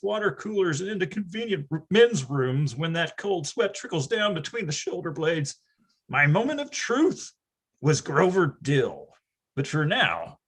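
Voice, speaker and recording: A nostalgic, wry grown man played by an actor telling boyhood tales in a stage reading. His high voice is very lively and a little raspy, with mock-grand emphasis. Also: heavily acted. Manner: wry, storytelling, florid emphasis. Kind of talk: dramatic first-person narration in readers theatre.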